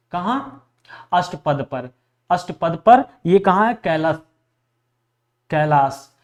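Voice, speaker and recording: Male exam teacher, high-pitched, repetitive question-and-answer drilling in a lecture style. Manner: repetitive, asks questions and answers them himself. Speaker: history teacher for exam preparation. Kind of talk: classroom lecture drilling facts for exams.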